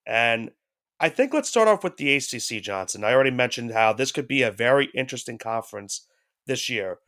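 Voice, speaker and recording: A man's voice: medium pitch, expressive, a little fast, sharp tone. Congested man chatting about college football. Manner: rambling, with restarts and hedges. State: congested head cold. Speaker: podcast co-host. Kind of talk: casual sports talk.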